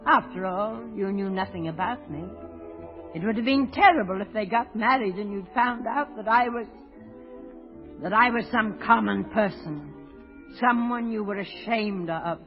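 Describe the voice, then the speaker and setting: An older actress playing an anxious, devoted mother, speaking with halting, earnest emotion in old radio drama style. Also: mid-range voice, close to an everyday voice. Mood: earnest, emotional. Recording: muffled old radio broadcast with music.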